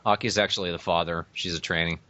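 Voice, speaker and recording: Lowish-voiced man, muffled call audio. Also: steady tone, fast talker, pushed and bright. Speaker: podcast co-host. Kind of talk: casual fan chat. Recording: dull lo-fi internet call.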